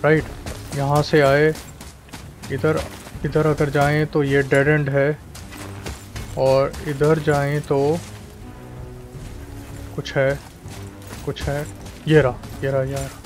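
A man's voice: fairly high pitch, monotone, rapid-fire, pauses often, soft background music. A man with an Indian accent giving casual gameplay commentary as the host of a gaming video.

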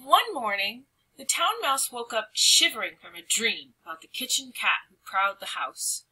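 Bright, animated woman reading a storybook. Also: on the high side, normal speed, slightly rough, poor audio. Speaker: storybook reader. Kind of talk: expressive children's read-aloud narration.

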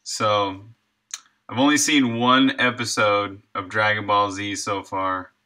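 A man's voice: lowish voice, steady tone, slow pace, bright, forceful tone. An American man in his thirties chatting casually and in a laid-back, slangy way while live-streaming his game development work. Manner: laid-back slangy talk, chuckles easily.

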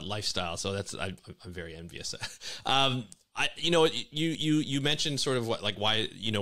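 30s guy hosting an interview, bright sharp voice, upbeat and loose, studio mic in his home office. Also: fairly low pitch. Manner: upbeat, loose, with many restarts. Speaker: host. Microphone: headphones and boom-arm studio mic.

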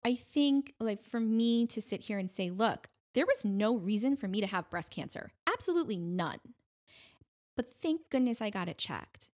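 A woman's voice: fairly high pitch, animated, normal speed, darkish tone. Chatty middle-aged woman, conversational. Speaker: podcast guest, breast cancer advocate. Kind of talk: conversational interview.

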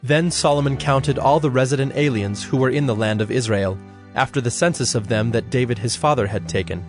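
A man narrating scripture from a script in a measured, formal way. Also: medium pitch, over music.

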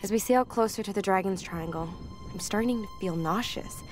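A young woman playing a spirited expedition filmmaker, confiding in a casual, expressive diary for a video game. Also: medium pitch, brisk, over music, lightly acted. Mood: uneasy. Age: young adult. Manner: conversational, candid, expressive.

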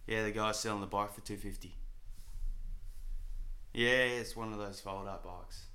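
A cocky young Australian hustler swears through nearly every line in a bright, forceful voice. His speech is crude and sure of itself, recorded on a boom mic in a small flat. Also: unhurried. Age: young adult. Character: brash foul-mouthed young Aussie hustler. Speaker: actor playing Jono, a crude young son. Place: small suburban flat indoors.

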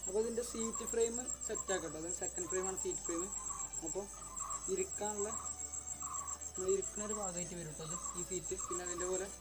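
A man's voice: sits high, steady tone, fast talker, low-quality recording. A young man filming himself outdoors in a yard, explaining step by step how he assembles a home workout bench. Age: young adult. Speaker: fitness vlogger. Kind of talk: casual how-to commentary. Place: outdoor garden yard among banana trees. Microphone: camera on tripod at a distance.

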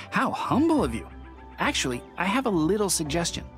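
A man's voice: high-pitched, sing-song, normal speed, a bit bright. A man playing a courteous, obliging innkeeper with a genial, formal manner in an anime video game. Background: game music.

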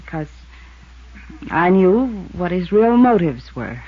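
A woman's voice: on the low side, big pitch swings, slow pace, heavily acted. A woman playing a poised, evasive rancher's widow with an American accent in a 1950s radio drama. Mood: defensive. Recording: dull, band-limited 1950s radio recording.

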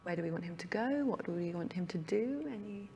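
Low-voiced woman hosting a panel. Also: lively intonation, warm tone, long reverb tail, muffled recording, slight background noise. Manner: leads with quick questions to guests. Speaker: panel host. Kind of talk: panel discussion.

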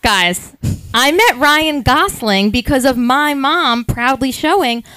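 A woman in her thirties with a fairly high voice, co-hosting a live podcast and telling a long story. She rambles excitedly from one aside to the next. Her voice is recorded close and dry. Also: slow pace, clear, resonant tone, no echo. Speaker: podcast co-host.

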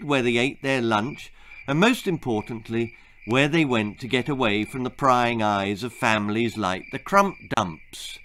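A man's voice: medium pitch, fairly bright tone, a little hiss or hum. A British man in his sixties reading a children's story aloud in a theatrical, arch manner. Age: 60s. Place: small book-lined room indoors.

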